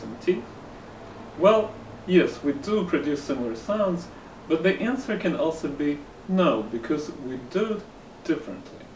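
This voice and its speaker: Man, Russian accent, language teacher, measured scripted lesson, roomy echo, a bit far from the mic. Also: a little high, darkish tone, a little hiss or hum. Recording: roomy room echo, somewhat distant mic, faint ambience.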